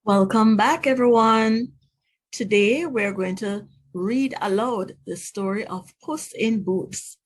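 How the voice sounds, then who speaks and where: Slow, clear teacher reading a storybook. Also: female voice, mid-range voice, low-quality recording. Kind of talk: children's read-aloud narration.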